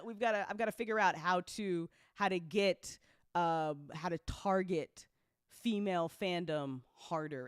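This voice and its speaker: A woman's voice: deep voice, no echo, studio quality. A woman hosting a casual update talk as an audio drama producer, speaking bluntly and informally with playful slang and free swearing.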